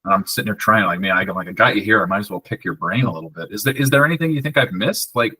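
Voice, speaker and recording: A man's voice: lowish voice, racing, bright, forceful tone, slightly rough, no room sound, rough recording. A man hosting a podcast, asking questions in a laid-back, conversational way with fillers. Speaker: podcast host. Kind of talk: casual interview.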